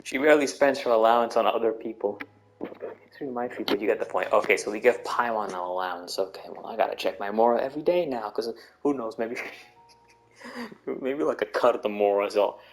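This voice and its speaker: A woman's voice: rumbling low, fairly quick, slight background noise, low-quality recording. A woman giving casual gameplay commentary, with wry, sweary asides as she goes. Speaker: game streamer.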